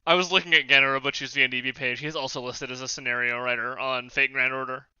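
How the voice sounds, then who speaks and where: Casual male podcast panelist, very bright clear voice, wry and opinionated, slightly dull dry audio. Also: mid-range voice, steady tone, quick pace, dry recording.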